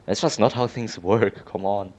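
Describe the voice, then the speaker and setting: A man's voice: fairly low pitch, steady tone, normal speed, darkish tone. A young man giving casual, sarcastic, exasperated commentary over gameplay.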